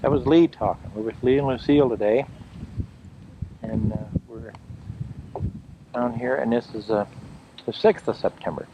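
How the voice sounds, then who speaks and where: A man's voice: medium pitch, moderate pace, pauses often, background noise. A man giving casual, chatty running commentary while filming a home video outdoors on a foggy rocky coast, repeating himself with dry humor. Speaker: home video camera operator. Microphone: built-in camcorder mic. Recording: muffled camcorder audio with loud surf. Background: surf and waves.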